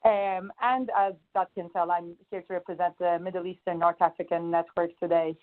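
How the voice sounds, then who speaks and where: A woman's voice: fairly low pitch, fairly even intonation, normal speed. A young woman from Turkey with a Turkish accent, chatting through a webinar self-introduction with fillers. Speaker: consultant. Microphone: conference call line. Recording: phone-line webinar audio.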